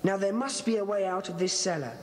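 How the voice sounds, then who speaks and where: A woman's voice: medium pitch, quick pace, a little mellow, lightly acted. A woman with a British accent playing a confident, bossy schoolboy club leader in a children's audio drama. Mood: wary, urgent.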